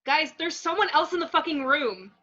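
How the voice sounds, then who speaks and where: Female reader as annoyed young actress, bright voice, lo-fi horror table read. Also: high-pitched, lively intonation, moderate pace. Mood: nervous. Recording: dull lo-fi audio.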